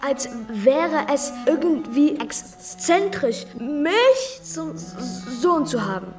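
Insolent high-voiced schoolboy. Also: female voice, sing-song, slow, darkish tone, distant mic, soft background music, heavily acted. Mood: cheeky, unrepentant. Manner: stammering, cheeky, defiant. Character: precocious, insolent, clever schoolboy with a stammer. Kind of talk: radio drama acting.

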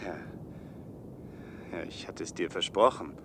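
A man's voice: sits low, measured pace, noisy background. An actor playing a troubled, hesitant man on an expedition in a 1970s German radio play. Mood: searching, tender. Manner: hesitant, earnest.

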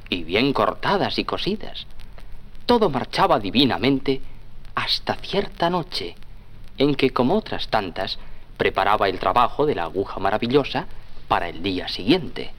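A man's voice: mid-range voice, a bit bright. A man narrating a scripted children's story as the storyteller.

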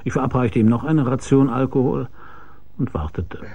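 A man's voice: medium pitch, normal speed, mellow, husky. An actor narrating as a laconic detective, telling his own case with dry wit in a radio drama. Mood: matter-of-fact. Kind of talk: scripted first-person narration in radio drama.

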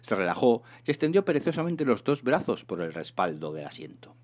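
A man reading a humorous science fiction novel aloud in an expressive, theatrical narration. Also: medium pitch, a little fast. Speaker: reader of a serialized humorous sci-fi novel.

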